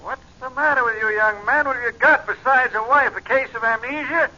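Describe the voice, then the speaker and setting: A woman's voice: high-pitched, brisk, bright, forceful tone, lo-fi sound. A woman playing a snappy, impatient bureau clerk over a telephone in a 1940s radio drama. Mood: testy. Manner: brusque, scolding. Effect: telephone filter. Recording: thin telephone voice on old radio.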